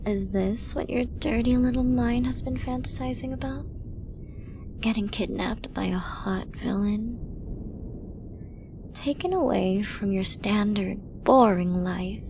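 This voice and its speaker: A woman acts out a menacing, seductive female villain in an ASMR audio roleplay. She speaks slowly in a mid-range voice, teasing and dominant, often sinking into a breathy whisper. There is clear noise behind her. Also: mid-range voice. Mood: flirty, teasing.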